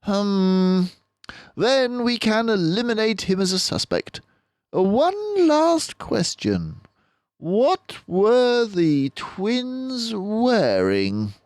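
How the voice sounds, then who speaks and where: A man voicing a calm, courteous and inquisitive male mouse detective in a storybook, with measured questioning. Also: sits high, no echo, studio quality, voice pushed up, exaggerated voice.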